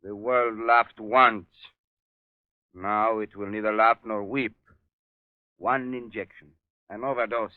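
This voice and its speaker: An actor playing a proud, embittered evil doctor in a 1930s radio drama, speaking formally and theatrically. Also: male voice, on the low side, fairly even intonation, takes it slowly, pauses often, clean tone, no room sound. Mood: defiant, fatalistic. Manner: grandiose, formal, theatrical delivery. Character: proud, embittered evil scientist doctor bent on self-destruction. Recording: muffled, tinny 1930s radio recording.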